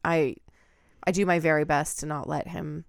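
A woman's voice: deep voice, steady tone, moderate pace, vocal fry, dry recording, clean studio sound. A woman co-hosting a podcast, talking in an informal, conversational way. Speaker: podcast co-host. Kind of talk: casual chat.

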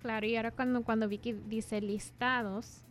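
A woman insurance agent explaining terms in a measured way, mixing in English words. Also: fairly high pitch, flat delivery, soft background music. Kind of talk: explanatory talk.

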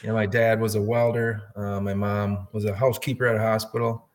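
A man in his fifties from Wisconsin chats as a guest on a podcast. He is friendly and rambling and often repeats words as he talks. His voice sits a little low. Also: little pitch movement, a little fast. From Wisconsin, USA. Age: 50s. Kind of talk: casual interview talk.

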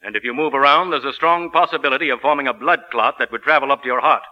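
An actor playing a gruff, blunt family doctor scolding his patient in 1940s radio drama style. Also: mid-range voice, quick pace, close to an everyday voice. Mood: exasperated, stern. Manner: blunt, exasperated. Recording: dull vintage 1940s radio recording.

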